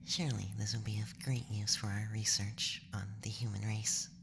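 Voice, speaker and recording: A man voicing a calm, reassuring alien researcher in a whispered ASMR roleplay, measured and clinical with a faint menace. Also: fairly low pitch, vocal fry, noisy background. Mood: soothing, intrigued.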